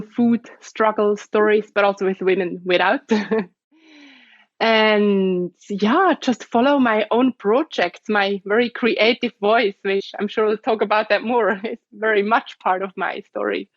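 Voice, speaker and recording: A woman's voice: mid-range voice, fairly even intonation, unhurried, dry recording, highs cut off. A woman in her thirties from Switzerland with a strong Swiss German accent, a guest chatting in a bubbly way and laughing often. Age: 30s. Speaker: guest, osteopath. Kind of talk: casual interview chat.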